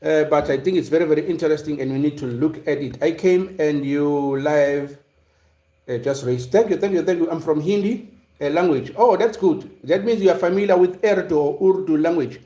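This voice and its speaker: A man's voice: fairly high pitch, moderate pace, mellow. A man from South Africa hosting a casual livestream, talking through a history topic and reading viewer comments, with lots of fillers and restarts. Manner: hesitant, many fillers and restarts. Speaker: livestream host. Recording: roomy echo.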